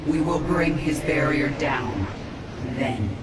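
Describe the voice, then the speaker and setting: An actress playing an ascended godlike alien woman in a video game, solemn, resolute and measured, with an otherworldly effect on the voice. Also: sits low, lively intonation, heavy background noise. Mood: commanding. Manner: measured, grave, portentous. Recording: echoing, distant, loud music behind.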